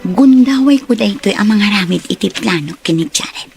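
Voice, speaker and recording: A woman's voice: medium pitch, expressive, a little fast, creaky, over music. An actress playing a scheming but remorseful young woman in an emotional Ilocano radio drama. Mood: sly, coaxing.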